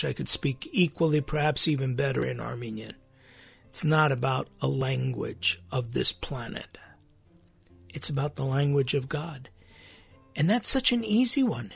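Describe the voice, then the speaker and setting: A man with a raspy voice, a priest hosting his podcast, talking earnestly and conversationally, with restarts and sudden emphatic rises. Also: fairly high pitch, a bit bright. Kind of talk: informal spiritual talk. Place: studio.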